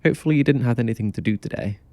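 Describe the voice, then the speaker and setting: A man's voice: medium pitch, rapid-fire, creaky, a little mellow, no echo, close to an everyday voice. A man playing a laid-back young motel clerk in an audio drama, offhand but kindly. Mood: helpful.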